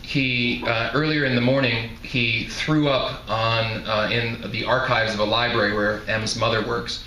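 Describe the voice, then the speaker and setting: A man's voice: medium pitch, little pitch movement, unhurried, bright, forceful tone. A man giving a live literary reading, narrating like an author presenting his own work. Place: indoor live reading event before an audience. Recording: roomy echoing live venue, some noise.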